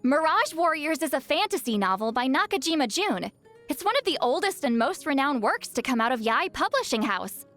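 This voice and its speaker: A woman voicing a video game seer, youthful-sounding, proud but easily flustered and secretly awkward, in an expressive, haughty and defensive manner. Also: high voice, a little fast, faint music behind. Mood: enthusiastic explaining. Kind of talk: video game character voice lines.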